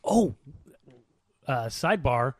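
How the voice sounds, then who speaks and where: A man's voice: medium pitch, sing-song, slow, dry recording. A man co-hosting a podcast, telling things informally and colloquially in casual sports banter. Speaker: podcast co-host.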